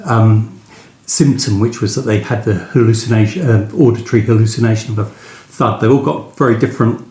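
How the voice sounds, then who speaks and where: A man conducting a conversational book interview, halting and pausing in the middle of his thoughts. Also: fairly low pitch, dark tone. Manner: halting, pausing mid-question. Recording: roomy, echoey sound.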